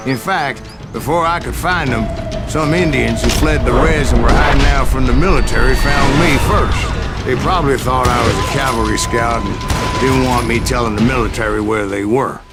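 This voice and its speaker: An actor playing a grizzled aging American gunslinger who tells of his exploits in a gruff, boastful drawl for a Western game. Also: mid-range voice, lightly acted. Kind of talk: Western game storytelling narration.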